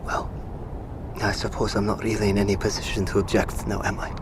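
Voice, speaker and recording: A man plays a blunt, angry soldier who curses and often drops to a hushed voice. His voice sits low. Loud ambience and vehicle noise run behind him. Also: lightly acted. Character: grieving, angry male soldier, blunt and profane. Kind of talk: radio drama.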